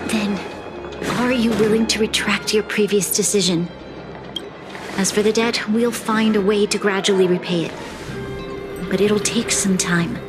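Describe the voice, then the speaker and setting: A woman playing an earnest, resolute young female leader in a video game cutscene, speaking in a measured, formal way. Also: medium pitch, steady tone, natural voice. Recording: loud game music behind the voice. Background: music.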